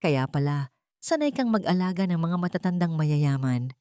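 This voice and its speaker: A woman's voice: on the low side, lively intonation, moderate pace, mellow, clear, resonant tone, no echo, clean studio sound, close to an everyday voice. A woman voicing a hostile young man with a sarcastic, insulting, pointed way of talking in a story. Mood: sarcastic, taunting. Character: sarcastic, hostile young man taunting his new stepmother.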